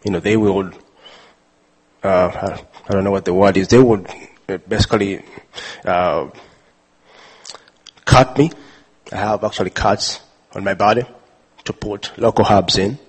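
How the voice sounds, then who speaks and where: A man from Uganda telling a personal story with many pauses, fillers and restarts. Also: lowish voice, steady tone, husky, rough recording. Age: adult father. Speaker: speaker sharing his testimony. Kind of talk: personal testimony talk.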